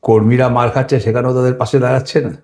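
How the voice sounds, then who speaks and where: A man's voice: mid-range voice, flat delivery, rapid-fire, a little mellow. A man with a West Bengal Bengali accent giving political commentary in an interview, indignant and combative, firing repeated rhetorical questions. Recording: echoey room, music underneath.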